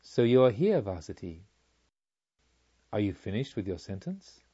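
Man voicing a stately, mellow divine teacher. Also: on the low side, sing-song, slightly rough, lo-fi sound, voice pushed down, full character voice. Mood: gentle questioning. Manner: stately, deliberate questioning. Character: divine male teacher, serene, gently probing, solemn.

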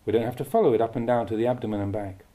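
A man reading a Buddhist meditation teaching aloud with measured, deliberate phrasing. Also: mid-range voice, mellow. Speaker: Buddhist monk reading a translated dhamma talk.

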